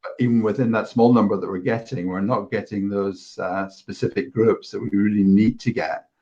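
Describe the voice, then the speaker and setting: A man's voice: fairly low pitch, fairly even intonation, moderate pace, warm tone, muffled recording. A man speaking as a guest in a casual discussion, earnest and often restarting phrases. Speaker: guest, STEM ambassador.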